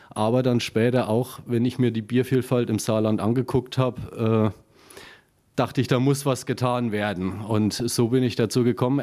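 A man from Upper Franconia with a Franconian accent, a small craft brewer explaining his beers in a relaxed interview with many fillers, recorded in a basement brewery room. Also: mid-range voice. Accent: Franconian German. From Upper Franconia, Germany. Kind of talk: casual interview.

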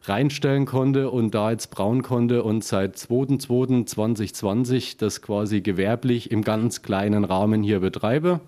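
A man from Upper Franconia with a Franconian accent, a small craft brewer explaining his beers in a relaxed interview with many fillers, recorded in a basement brewery room. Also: on the low side, a little slow, few pauses. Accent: Franconian German. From Upper Franconia, Germany. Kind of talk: casual interview.

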